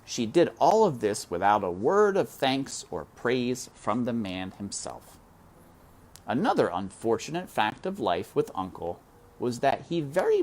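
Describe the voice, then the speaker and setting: A man reads a children's novel aloud at an unhurried pace, with a lively, expressive pitch. He lingers on dramatic moments and pauses to speak directly to his listeners. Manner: dramatic, addresses listener directly, slow and deliberate. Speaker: reader.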